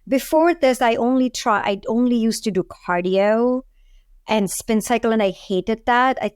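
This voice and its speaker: A woman's voice: on the high side, a little slow, darkish tone, clear, resonant tone, dry recording, clean studio sound. A woman in her forties from India, with an Indian accent, talking casually as an interview guest. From Delhi, India. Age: 40s. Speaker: podcast guest, food entrepreneur.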